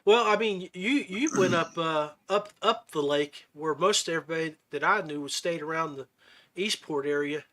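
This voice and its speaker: Man, high-set voice, podcast interviewer, casual, folksy, feels his way through questions. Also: moderate pace, pushed and bright, low-quality recording. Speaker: podcast host. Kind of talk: casual chat interview about fishing.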